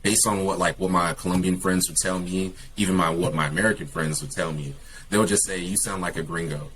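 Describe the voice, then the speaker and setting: Deep-voiced man, halting casual talk. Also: steady tone, fairly bright tone, a little hiss or hum. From California. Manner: hesitant, many fillers and restarts. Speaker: guest, English teacher.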